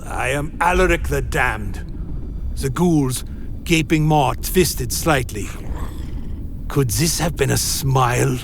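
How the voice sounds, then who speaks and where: Creaky-voiced, bitter vampire. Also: male voice, medium pitch, slow pace, noisy background. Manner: theatrical, formal, indignant when speaking up. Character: damned male vampire, proud, bitter, vengeful. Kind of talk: first-person gothic horror narration and radio acting. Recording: music under the voice.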